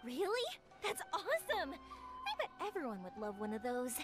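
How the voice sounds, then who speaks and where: An actress voicing a sunny, warm-hearted young woman who makes fireworks, friendly and gushing. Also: high-pitched, wildly swooping pitch, moderate pace, over loud music. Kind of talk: game cutscene voice acting.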